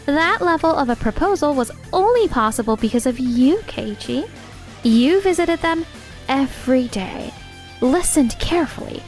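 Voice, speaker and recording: Woman acting a high-voiced, composed young office supervisor. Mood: admiring. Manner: composed, earnest, supportive. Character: poised, encouraging young female office supervisor, kind but frank. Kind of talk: anime-style dubbed dialogue.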